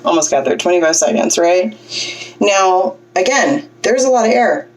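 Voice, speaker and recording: Low-voiced woman coaching breath, a little distant. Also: lively intonation, moderate pace. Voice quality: low singing voice. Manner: chatty, self-deprecating, coaching with frequent "okay?" checks. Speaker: vlogger teaching breathing. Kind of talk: casual instructional vlog.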